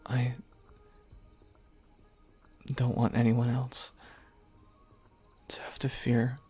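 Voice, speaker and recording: A man voicing a devoted young knight who earnestly confesses his love, speaking softly and haltingly. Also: medium pitch, monotone, breathy edge, faint music behind. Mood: tender. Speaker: voice actor. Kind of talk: audio roleplay acting.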